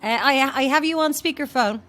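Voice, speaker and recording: A woman's voice: high voice, a little fast, background noise, close to an everyday voice. A woman playing a flustered, self-dramatizing struggling actress who rambles theatrically to herself in an audio drama. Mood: flustered, defensive. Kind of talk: modern audio drama acting.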